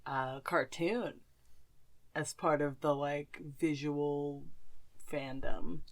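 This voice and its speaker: A woman's voice: sits low, steady tone, very slow. A young woman co-hosting a podcast in casual conversation, speaking emphatically and opinionatedly with casual swearing. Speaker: podcast co-host.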